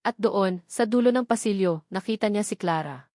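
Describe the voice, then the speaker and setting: A woman's voice: mid-range voice, normal speed, a little mellow, dry recording, studio quality. A woman with a Filipino accent reads a scripted romance drama as a storyteller, in a dramatic narrating style.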